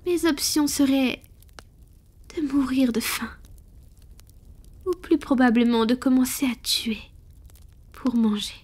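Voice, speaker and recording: Casting: tender, morally torn vampire lady played by a woman, high breathy voice, whispered intimate ASMR roleplay delivery. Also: darkish tone. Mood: troubled, reflective. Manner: slow, intimate, hesitant. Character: tender, conflicted wealthy female vampire confiding in her beloved.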